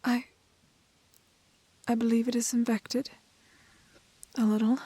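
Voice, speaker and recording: A woman playing a prim, plucky, kind-hearted young woman in a fairy-tale roleplay, with soft voice and proper, old-fashioned phrasing. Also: fairly high pitch, level tone, slow pace, slightly rough, dry recording. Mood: tender, reassuring. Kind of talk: romantic fantasy roleplay audio acting.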